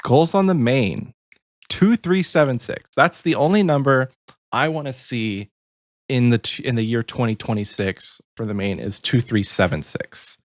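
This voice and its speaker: A man in his early thirties co-hosting a podcast, easygoing and quick to laugh. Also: mid-range voice, no room sound, studio quality. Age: 30s. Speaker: podcast co-host. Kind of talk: casual chat.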